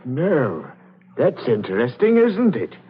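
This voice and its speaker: An actor playing a plain, plodding, inquisitive police constable, deferential and questioning, in 1940s radio drama. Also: male voice, medium pitch, wildly swooping pitch, slow, husky, exaggerated voice. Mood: probing. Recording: muffled, hissy 1940s radio recording.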